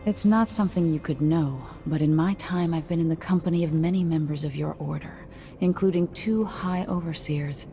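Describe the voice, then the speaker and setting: An actress playing a guarded, world-weary masked infiltrator in a video game, speaking in a cool, measured, dryly skeptical way. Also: female voice, low-pitched, warm tone, soft background music. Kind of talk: dramatic video game dialogue.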